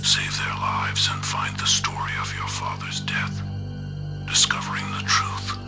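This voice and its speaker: An actor playing a menacing serial killer who taunts captives in slow, ominous, distorted recordings with a breathy growl. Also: male voice, whispered. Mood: cold menace. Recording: music under the voice.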